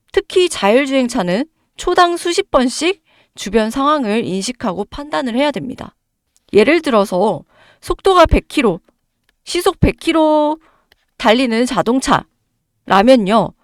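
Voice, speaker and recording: A woman giving emphatic stock market commentary to her viewers, hoarse from a cold. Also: fairly high pitch, no echo, studio quality. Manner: emphatic, addresses viewers directly, rhetorical questions.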